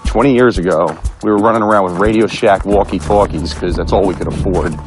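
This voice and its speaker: A middle-aged American man, a police officer explaining things informally in a halting, matter-of-fact way. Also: deep voice, steady tone, over music.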